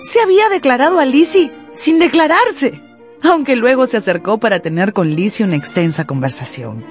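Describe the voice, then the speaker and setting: A woman narrator giving expressive, scripted storytelling for a Spanish-language radio drama. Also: fairly high pitch, normal speed, soft background music.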